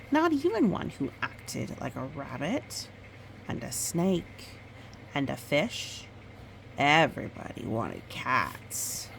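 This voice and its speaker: A woman's voice: fairly low pitch, creaky, a little hiss or hum, low-quality recording. A woman reading a children's story aloud, storytime style, with chatty asides about the pictures. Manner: expressive, pauses to comment on the pictures. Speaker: storytime reader.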